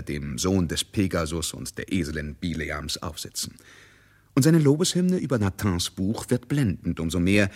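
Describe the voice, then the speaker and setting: A man narrating a literary radio drama with expressive storytelling and deliberate phrasing. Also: sits low.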